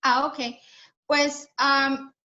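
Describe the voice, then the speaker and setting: A woman in her forties, chatting warmly and laughing easily as a guest on a virtual church ladies' meeting. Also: high-pitched, level tone, very slow. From Mexico. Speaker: invited speaker. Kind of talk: casual virtual interview. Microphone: video call. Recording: dull lo-fi video call audio.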